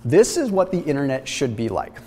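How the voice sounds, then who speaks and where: A man in his thirties talks straight to the camera, walking through his lab results with energetic, emphatic explanation. His voice sits at an ordinary middle pitch, and a lingering reverb trails his words around the kitchen studio set. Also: fairly quick, slight background noise. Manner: energetic, explanatory, emphatic, gesturing while holding papers. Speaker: health and fitness channel host.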